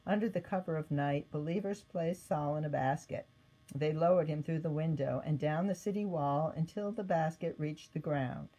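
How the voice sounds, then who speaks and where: A woman reading a scripted story aloud as narrator, with expressive delivery. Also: sits low, moderate pace, low-quality recording. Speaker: storybook reader.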